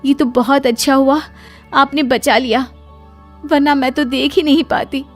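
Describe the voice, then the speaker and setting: A woman voicing an expressive, tender-hearted, easily flustered young daughter-in-law in a cartoon moral story. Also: high voice, unhurried, soft background music, pitched above the performer's own voice. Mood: relieved.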